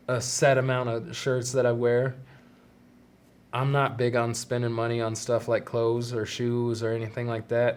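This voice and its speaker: A young man hosting his own podcast in casual solo chat, talking with a stuffy, congested nose in a rambling, self-deprecating way. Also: medium pitch. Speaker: podcast host.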